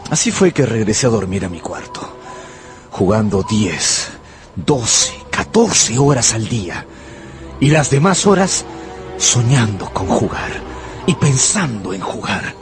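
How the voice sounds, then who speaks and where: Brooding gambler over music. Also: male voice, fairly low pitch, very animated, slow pace. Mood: wistful confession. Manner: dramatic, brooding, confessional. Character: obsessive, penniless young gambler recounting his downfall. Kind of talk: first-person radio drama narration.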